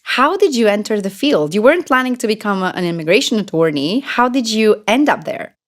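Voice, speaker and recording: A woman's voice: mid-range voice, expressive, brisk. A woman hosting a podcast, reading an introduction and asking interview questions with enthusiasm. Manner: enthusiastic, some restarts. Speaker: podcast host.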